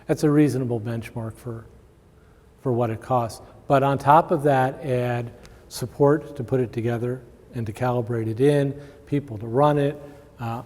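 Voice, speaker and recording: Mellow-voiced male presenter in a reverberant hall. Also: medium pitch. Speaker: conference presenter. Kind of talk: informal conference talk with audience questions. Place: large indoor conference room with long reverb.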